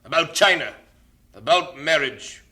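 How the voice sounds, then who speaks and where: A fussy, stern mission director, voiced by a man, speaks in clipped, old-fashioned phrases. His tone is sharp and bright, and his pitch swings widely as he frets. He comes across as a 1930s-style radio drama character. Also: expressive, unhurried, exaggerated voice. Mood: stern, doubtful. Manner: clipped, formal British-sounding phrasing, "don't you know".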